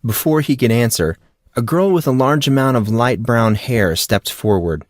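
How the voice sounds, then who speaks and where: A man's voice: medium pitch, moderate pace, dry recording, clean studio sound. A man reading scripted fiction as an audiobook narrator.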